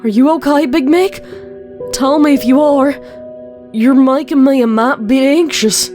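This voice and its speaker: A woman's voice: high-pitched, a little slow, a little mellow, soft background music. A woman voicing an anxious, stubborn young farm pony with a folksy Southern US accent in a dramatic story reading. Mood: anxious, frightened. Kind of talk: dramatic fan fiction reading.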